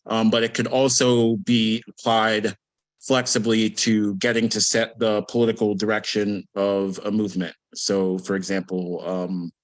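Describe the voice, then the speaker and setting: A man on a video call, a guest author explaining an idea in a measured, hesitant way with many pauses. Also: on the low side, level tone. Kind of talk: academic explanation.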